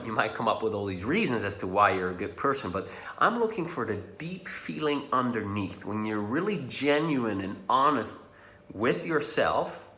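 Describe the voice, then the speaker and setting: A man whose first language is Dutch, talking casually and encouragingly as a coach leading a guided tapping lesson. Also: lowish voice. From Netherlands. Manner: casual, encouraging, drops to soft and slow when guiding. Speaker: social anxiety coach. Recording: echoey room.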